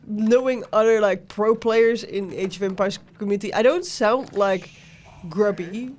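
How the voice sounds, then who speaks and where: A woman's voice: medium pitch, a little slow, darkish tone, slight background noise. A woman with a Dutch accent doing casual, chatty game commentary as a caster. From Netherlands. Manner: chatty, laughs easily.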